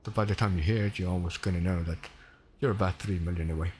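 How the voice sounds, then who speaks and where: Swedish-accented male streamer, low voice, chatty and rambling, faint hum behind. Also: steady tone, fast talker, a little mellow. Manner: chatty, rambling, self-correcting. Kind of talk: casual gameplay commentary.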